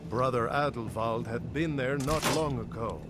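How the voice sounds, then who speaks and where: An actor playing a proud, resolute holy warrior in a fantasy game, vengeful, solemn and earnest. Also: male voice, medium pitch, normal speed, natural voice. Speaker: video game character. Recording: loud music behind the voice. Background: loud game music.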